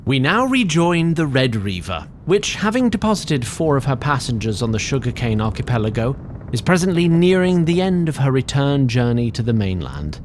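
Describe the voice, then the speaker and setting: A man as a wry, very animated fantasy storyteller. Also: mid-range voice, normal speed, slight background noise, lightly acted. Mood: measured, wry. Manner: formal, wry, prone to asides. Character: chatty, digressive, fussy male tree sprite storyteller. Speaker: narrator. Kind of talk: theatrical scripted fantasy narration.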